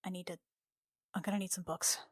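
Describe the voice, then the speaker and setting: An anxious female spacefarer acted by a woman in a tabletop game, caring and frayed. She speaks haltingly, circling back over her words, in a very dry recording. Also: fairly low pitch, little pitch movement, breathy edge, dry recording. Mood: quietly panicked.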